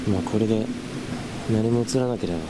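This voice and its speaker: A man's voice: on the low side, fairly even intonation, a little fast, heavy background noise. A man casually narrating his visit to a haunted spot as the host, talking informally as if telling a friend. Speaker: host of ghost-spot exploration videos. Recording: music laid under the voice. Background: background music.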